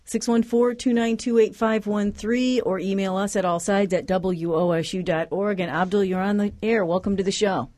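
A woman hosting a call-in radio talk show, reading out the station phone number and email in a brisk announcer cadence. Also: medium pitch, steady tone, darkish tone. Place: radio studio.

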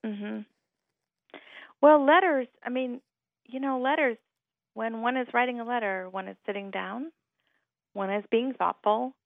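A woman's voice: a little high, unhurried, creaky, pauses often, dry recording. A woman from Hawaii, a writer and radio guest, talking in an articulate, thoughtful way with a few repeated starts, heard over a phone line. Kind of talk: reflective radio conversation.

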